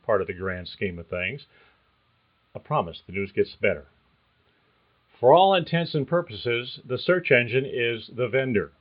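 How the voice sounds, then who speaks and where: An older man hosts his career podcast with a folksy, reassuring coaching manner. His voice sits at a middle pitch for a man. The recording sounds a little dull and lo-fi. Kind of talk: scripted podcast monologue.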